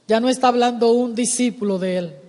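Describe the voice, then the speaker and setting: A woman preaching a sermon in a church, emphatic, repeating her phrases and rising to shouts. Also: fairly high pitch, brisk. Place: church sanctuary. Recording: long hall reverb.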